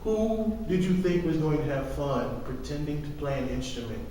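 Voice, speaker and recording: A man's voice: on the high side, moderate pace, dark tone. A man co-hosting a podcast, chatting casually and emphatically with strong opinions and rhetorical questions. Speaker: podcast co-host discussing video games. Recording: echoey hall, distant mic, faint noise.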